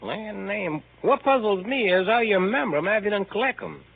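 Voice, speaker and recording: A man's voice: sits high, expressive, fairly quick, creaky. An actor playing a bemused, folksy older handyman in a 1930s radio comedy. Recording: tinny 1930s radio broadcast.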